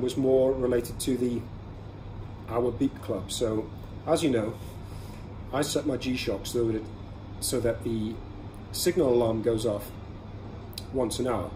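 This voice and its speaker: Man, average pitch, casual review host, conversational explainer, short measured phrases, noticeably noisy ambient recording. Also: steady tone, warm tone. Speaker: podcast host reviewing everyday carry products. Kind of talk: casual product review monologue. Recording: clearly audible ambient background noise.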